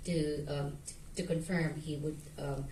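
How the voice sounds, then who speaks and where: A woman's voice: deep voice, level tone, unhurried, dark tone, slight background noise. A woman, an actress, answering hesitantly with fillers at a festival Q&A. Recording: echoey room, mic at a distance.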